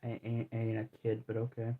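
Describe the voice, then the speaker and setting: A man's voice: fairly low pitch, completely flat delivery, a little fast. A man in his twenties chatting casually to viewers while streaming a game, rambling and laid-back with a lot of slang and restarts. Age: 20s. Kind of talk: casual live-stream chat while playing. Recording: tinny phone-like audio.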